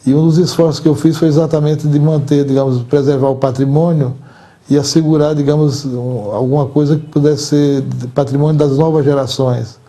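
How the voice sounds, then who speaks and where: An older man with a Brazilian Portuguese accent gives measured, formal answers as an association's outgoing president, choosing words deliberately and repeating some as he goes. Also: on the high side, steady tone, mellow.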